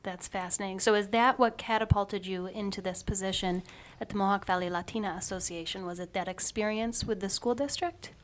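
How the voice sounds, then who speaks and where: A woman's voice: mid-range voice, fairly even intonation, a little fast, few pauses. A woman hosting an interview, encouraging her guests with frequent short affirmations. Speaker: host.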